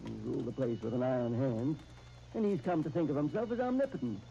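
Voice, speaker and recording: A shrewd professor and lawyer, played by a man in 1930s radio drama style. His voice is very mellow and dark, with an emphatic, cautioning delivery. It comes through a muffled, hissy old disc recording. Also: medium pitch, quick pace, creaky, natural voice. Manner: emphatic, cautioning, old-fashioned slangy talk. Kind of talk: 1930s radio soap opera acting.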